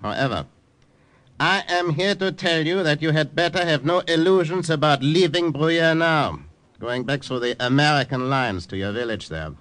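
A cold German commandant. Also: male voice, a little high, expressive, normal speed, a bit bright. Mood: menacing. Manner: clipped, menacing. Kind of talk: 1940s radio acting.

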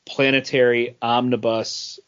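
A man's voice: medium pitch, little pitch movement, unhurried, fairly bright tone, clear, resonant tone, highs cut off. A man co-hosting a comic book podcast in casual, opinionated chat, blunt and given to swearing and acting out quotes.

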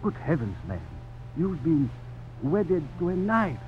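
A man's voice: on the high side, very animated, slow. An actor playing a stern, courtly older governor and protective father in a formal radio drama style. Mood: grave. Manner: formal, grave, ceremonious. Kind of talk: 1950s radio drama acting. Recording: dull, noisy old radio broadcast recording.

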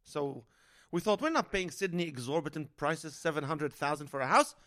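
A man in his forties, originally from Lebanon, tells stories in a loose, joking way. His voice sits a little higher than most men's. He is chatting as a guest on a comedy podcast. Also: moderate pace, fairly bright tone. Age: 40s. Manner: chatty, jokey storyteller. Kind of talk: casual podcast chat. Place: motel room.

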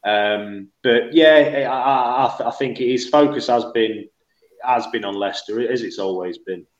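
A man on a football fan podcast, talking in a rambling, halting way full of restarts and fillers. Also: medium pitch. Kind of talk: casual chat. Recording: roomy, dull lo-fi sound.

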